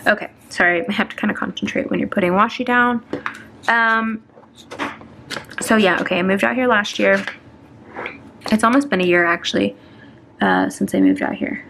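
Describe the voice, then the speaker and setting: A young woman in her twenties talks unhurriedly, with a gravelly edge in her voice, as she works on a planner. She rambles in a nervous, self-conscious way, full of ums and false starts. A faint hiss sits under the recording. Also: fairly high pitch, a bit bright. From Atlanta, Georgia, US. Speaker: planner vlogger. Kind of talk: chatty plan-with-me voiceover while decorating a planner.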